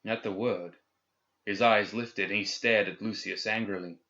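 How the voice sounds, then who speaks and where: Low-voiced man narrating fiction, slightly muffled. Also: steady tone, normal speed, sharp tone. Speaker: audiobook reader. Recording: slightly dull, band-limited recording.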